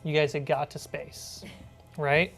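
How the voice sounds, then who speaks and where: A woman's voice: low voice, level tone, slow, creaky, faint music behind. A woman narrating a tabletop role-playing game as its game master.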